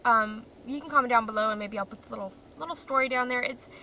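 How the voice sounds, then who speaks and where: A young woman from Croatia vlogs from her parked car, rambling freely and laughing at herself. Her voice is bright and a little high. The phone sits a bit away from her, with a faint hum in the background. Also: quick pace. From grew up in Croatia. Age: young adult. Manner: chatty, rambling, self-deprecating. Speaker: vlogger. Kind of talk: casual chat to camera. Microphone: phone camera in a car. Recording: distant mic with faint car noise.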